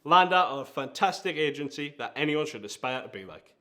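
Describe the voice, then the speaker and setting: A man's voice: a little high, animated, a little fast, sharp tone. A man with a Geordie accent hosting a countdown-style video, talking in an enthusiastic, emphatic way. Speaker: video host, design agency founder. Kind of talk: presenter-style vlog countdown.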